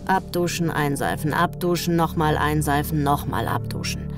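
A sullen young woman played by an actress narrates an audio drama in clipped, sardonic phrases. Her voice sits low and tough, with prominent music underneath. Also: normal speed, natural voice. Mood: grim, watchful. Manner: terse, sardonic, swearing. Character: sullen, hard-bitten young female first-person narrator.

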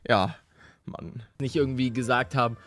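A young man giving excitable, slangy casual commentary as he reacts to gaming videos. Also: medium pitch, moderate pace. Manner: animated, slangy, youthful. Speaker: gaming YouTuber. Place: small indoor gaming room. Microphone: close desk microphone.

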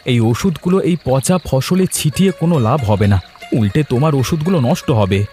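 A man's voice: mid-range voice, expressive, moderate pace, dark tone, natural voice. A man voicing a doubtful, complaining young village husband in a cartoon drama, with music behind him. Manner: protesting, doubtful.

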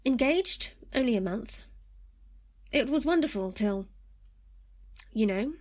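Woman as defensive young daughter, higher-pitched. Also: expressive, slow, a little mellow. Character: defensive, resentful nineteen-year-old daughter.

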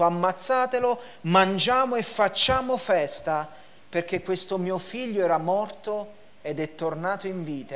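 A man in his thirties preaches from a lectern in a church hall, with a rather high voice. He stresses points by repeating words and gesturing as he reads passages aloud. The clip-on mic keeps him close and clear. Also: a bit bright. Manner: emphatic preaching with repetition and gestures. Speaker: pastor. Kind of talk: sermon. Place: church hall, in front of a projection screen.

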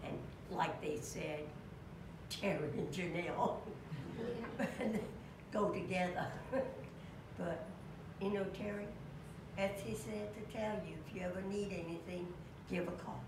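A woman's voice: lowish voice, gravelly. An older woman giving a memorial tribute to a classmate from a church pulpit, storytelling with chuckles. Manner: slow, warm storytelling with chuckles. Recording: echoey church, distant mic, room noise.

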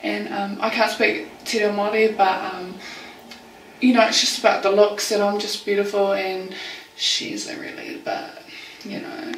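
A woman's voice: mid-range voice, sing-song, normal speed, distant mic, a little hiss or hum. A woman acting a vain, jealous young New Zealand best friend in a comedy sketch, ditzy and self-absorbed, in an echoey room. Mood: smug, catty.

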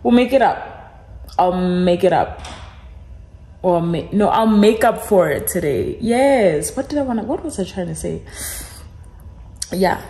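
A woman's voice: fairly low pitch, lively intonation, a little slow, pauses often, mellow. A young woman chatting casually to her vlog audience, rambling informally and repeating phrases for emphasis. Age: young adult. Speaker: student vlogger.

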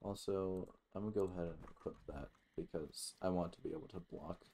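A man giving casual, halting gameplay commentary as host, with some offhand swearing. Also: sits low, fairly even intonation, dark tone, a little hiss or hum. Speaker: gaming video host.

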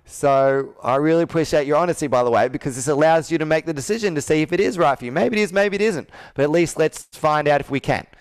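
A male sales coach with a fairly high, smooth and resonant voice. He questions directly and persuasively, as in a live sales call roleplay. The sound is close and dry. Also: quick pace, few pauses, no room sound. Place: indoor room with whiteboard, on a video call.

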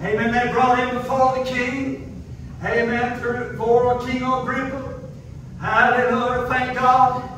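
High-voiced preacher chanting amens. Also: male voice, little pitch movement, slow. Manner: punctuates every phrase with amen and hallelujah, rising into a sung chant. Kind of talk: chanted revival sermon. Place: small wood-paneled church, indoors. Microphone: far phone camera in the pews. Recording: echoey room from far off, noisy.

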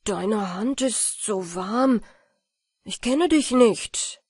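A woman's voice: mid-range voice, lively intonation, very slow, a little mellow, husky, dry recording, clean studio sound, heavily acted. A woman voicing a soulless, enchanted boy in a storybook, distant and indifferent. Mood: cold, estranged.

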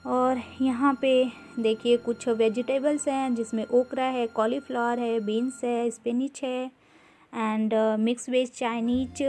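Mellow-voiced woman touring a grocery store. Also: a little high. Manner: unhurried, mixes English words into Hindi, frequent "uh" fillers. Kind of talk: casual walkthrough narration.

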